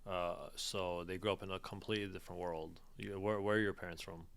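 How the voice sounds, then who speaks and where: A young man hosts a casual talk, curious and thinking out loud as he asks questions. His voice is very low and smooth, clean and resonant. He sits at a studio mic in an office room. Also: fairly even intonation, fairly quick. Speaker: podcast host. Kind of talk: casual conversation. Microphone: studio mic on a stand.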